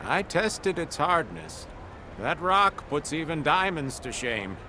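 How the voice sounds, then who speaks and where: A matter-of-fact male craftsman, with a bright, animated voice. He is apologetic, in game cutscene style, with faint ambient noise behind. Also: on the high side, slow, heavily acted. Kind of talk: video game cutscene acting.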